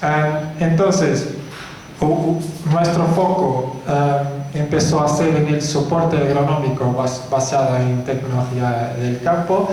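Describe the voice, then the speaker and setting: A middle-aged man from Portugal with a Portuguese accent, giving a conference presentation on a handheld microphone, speaking in a halting way with many fillers and restarts. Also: on the high side, fairly even intonation, darkish tone. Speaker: company founder giving a talk. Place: large echoey hall. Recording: very echoey hall with faint noise.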